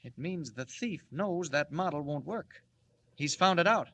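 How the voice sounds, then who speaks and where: Mild-mannered anxious reporter, high bright voice, animated, flustered and earnest, 1940s radio drama. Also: male voice, a little slow, full character voice.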